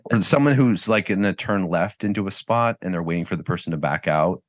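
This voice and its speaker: A man's voice: sits low, fairly quick, no room sound, clean studio sound. A man co-hosting a podcast, talking candidly in casual chat, swearing freely and laughing often. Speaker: podcast co-host.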